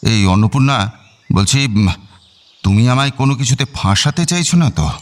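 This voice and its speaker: A man's voice: medium pitch, animated, moderate pace, close to an everyday voice. A man acting a stingy, suspicious middle-aged father in a radio drama, grumbling and hesitating as he talks. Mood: wary, grumbling.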